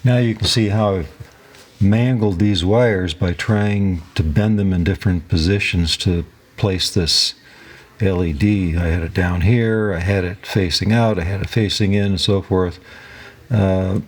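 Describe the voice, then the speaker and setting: A man narrating a hands-on tutorial as host, talking haltingly as he thinks aloud while he works. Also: deep voice, steady tone. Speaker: host of a DIY electronics repair channel.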